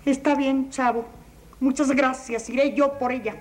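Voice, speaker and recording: Una mujer interpretando a una solterona mayor y amable que habla en un tono suplicante y meloso. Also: female voice, high-pitched, steady tone, measured pace, darkish tone, poor audio. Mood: pleading.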